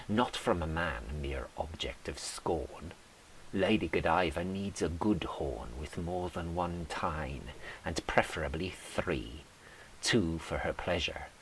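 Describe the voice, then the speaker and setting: Very deep, slow-reading man. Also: low-quality recording. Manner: measured, dramatic delivery. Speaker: poet reading own poems. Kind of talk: poetry recitation. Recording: faint background hiss.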